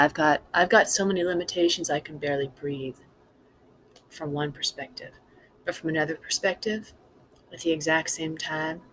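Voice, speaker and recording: Woman giving an earnest spiritual talk, noisy background. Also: low voice. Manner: earnest, emphatic, repeating phrases in building cadences. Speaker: spiritual teacher. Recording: clear background noise.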